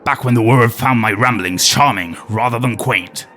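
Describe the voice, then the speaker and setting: A man's voice: mid-range voice, normal speed, pushed and bright, soft background music, natural voice. A man playing an aging former nature documentary host with a wry, world-weary, conspiratorial manner. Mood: sly. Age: older.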